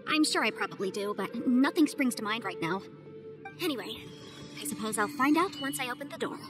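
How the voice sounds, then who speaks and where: Earnest young legal advisor, played by a woman, high voice, game music behind. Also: animated, brisk, close to an everyday voice. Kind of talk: video game voice acting.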